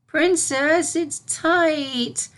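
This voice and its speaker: A woman's voice: sits high, fairly even intonation, extremely slow, fairly bright tone, pitched above the performer's own voice, heavily acted. A woman voicing a young unicorn filly, an eager but easily worried pupil, in a storybook reading. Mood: complaining. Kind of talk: storybook character voice in fan fiction reading.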